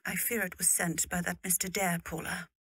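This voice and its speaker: A woman playing a frank, earnest aunt and companion, confiding and measured, in a period audio drama. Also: lowish voice, fairly even intonation, a bit bright, husky, dry recording, studio quality, heavily acted. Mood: grave, confiding.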